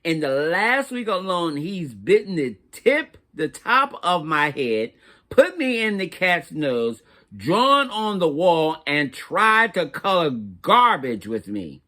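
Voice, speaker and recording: Guy doing a fed up chunky crayon, high voice, super dramatic and begging, kids book. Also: very animated, slow, pushed and bright, heavily acted. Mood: exasperated, desperate. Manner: melodramatic, pleading. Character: desperate, exasperated chunky toddler's crayon.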